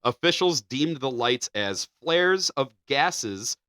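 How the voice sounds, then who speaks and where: A man's voice: medium pitch, expressive, takes it slowly, bright, forceful tone, dry recording, clean studio sound. A man co-hosting a podcast, chatting casually and reading news articles aloud. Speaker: podcast co-host.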